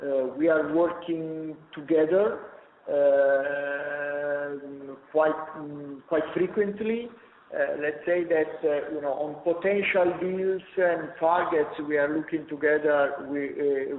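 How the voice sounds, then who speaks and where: Man, executive, halting with fillers, faint room noise, phone-line sound. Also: on the high side, steady tone. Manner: halting, many fillers and restarts. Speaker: company executive. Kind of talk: earnings call answer. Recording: echoey tinny phone line.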